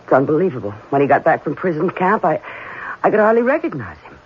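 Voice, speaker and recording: A devoted but uneasy young bride-to-be in a vintage radio mystery. Her delivery swings widely, halting and melodramatic. The sound is dull old radio with a little background noise. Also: low voice, big pitch swings. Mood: earnest. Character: anxious, devoted young fiancée, haunted by her lover's twin. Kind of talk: old-time radio drama acting. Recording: dull, faintly noisy old radio recording.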